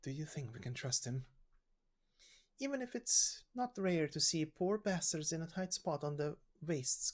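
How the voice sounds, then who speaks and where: A man voicing a wary, street-smart fighter from a game story, speaking in a blunt, clipped, matter-of-fact manner. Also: a little high. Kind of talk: video game story voice acting.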